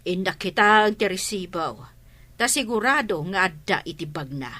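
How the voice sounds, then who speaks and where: A woman's voice: medium pitch, animated, moderate pace, lightly acted. A woman playing a fretful, disapproving older mother of a grown son in an audio drama. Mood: shocked, concerned. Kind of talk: dramatic radio-drama dialogue.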